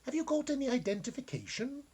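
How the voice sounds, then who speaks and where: A man voicing a polite, practical and quietly stubborn elderly English widow in a storybook reading, mild and matter-of-fact. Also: very high-pitched, sing-song, fast talker, husky, pitched above the performer's own voice, heavily acted. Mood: polite, wary. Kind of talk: storybook character dialogue.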